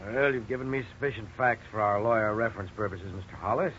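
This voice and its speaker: A man acting as a formal, precise legal man in a 1950s radio drama. He talks quickly in a bright, businesslike voice. The old radio recording sounds dull. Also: lowish voice, slight background noise, lightly acted. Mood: courteous, businesslike. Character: brisk, businesslike male legal official, polite and formal.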